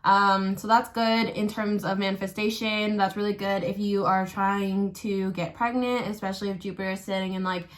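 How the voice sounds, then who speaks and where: A young woman chatting casually about astrology while doing her makeup, soft-voiced and full of likes and ums. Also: mid-range voice, level tone, normal speed, few pauses. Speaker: astrology vlogger. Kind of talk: casual get-ready-with-me chat. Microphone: built-in camera mic.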